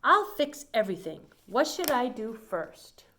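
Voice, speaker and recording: A woman's voice: fairly high pitch, big pitch swings, unhurried, a bit bright, slight background noise. A woman voicing a cheerful, literal-minded housemaid in a children's storybook, with a folksy, matter-of-fact manner. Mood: reassuring, eager. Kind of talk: children's storybook character reading.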